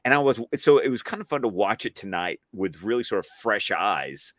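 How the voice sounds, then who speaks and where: Male co-host, playful casual commentary, expressive voice that rises and falls a lot. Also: fairly low pitch, brisk, no room sound, clean studio sound. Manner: animated, jokey, playful asides. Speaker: co-host of a watch-along stream.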